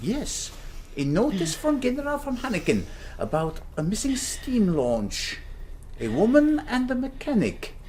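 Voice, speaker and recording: An actor playing a coldly polite German naval captain who interrogates a prisoner in a radio drama. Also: male voice, extremely high pitch, huge pitch swings, a little slow, a little mellow, a little hiss or hum, exaggerated voice. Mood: stern. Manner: clipped, formal, coldly polite. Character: stern, formal German naval captain presiding.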